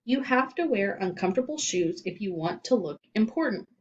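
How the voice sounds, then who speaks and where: A woman's voice: mid-range voice, lively intonation, normal speed, far from the mic, dull audio, slight background noise, natural voice. Woman reading as a self-important, earnest schoolboy. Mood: proud, earnest. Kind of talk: children's audiobook character voice.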